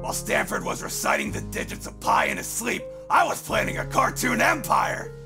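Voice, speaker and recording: A man playing a gruff, cantankerous old great-uncle and con man in a cartoon comic dub, blustering in a gravelly voice. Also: very high voice, sing-song, moderate pace, over music, exaggerated voice. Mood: wistful, aggrieved. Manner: blustering, loud.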